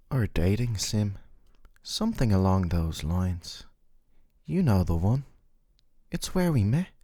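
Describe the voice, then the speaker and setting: Male actor as doting, possessive game boyfriend for ASMR roleplay; soft, dark timbre, very lively intonation. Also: lowish voice, no room sound, studio quality. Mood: gently coaxing. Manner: soft, coaxing, articulate, teasing tag questions. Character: possessive, gentle yandere dating-sim boyfriend, doting and smug. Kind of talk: scripted ASMR roleplay monologue to the listener.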